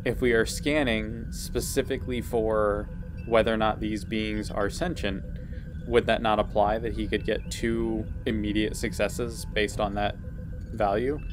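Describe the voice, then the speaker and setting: A low-voiced player presses a rules question, reasoning it out one step at a time. Music plays clearly behind him. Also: male voice, little pitch movement, unhurried. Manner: questioning, builds arguments step by step. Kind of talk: rules discussion at a game table.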